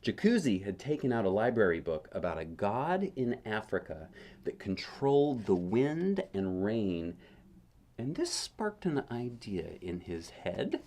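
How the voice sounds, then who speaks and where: Male storyteller, lowish voice with lively swings in pitch, bedtime picture-book read with musing, conversational asides. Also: a little slow. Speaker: dad reading a picture book aloud. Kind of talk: expressive bedtime story reading with casual asides.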